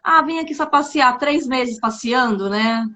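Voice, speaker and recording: A woman's voice: sits high, measured pace, bright, forceful tone, a little hiss or hum. A woman from Brazil hosting a casual live stream for an exchange agency, talking in an upbeat, chatty way and often checking in with "né?". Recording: slightly dull, lo-fi live-stream audio.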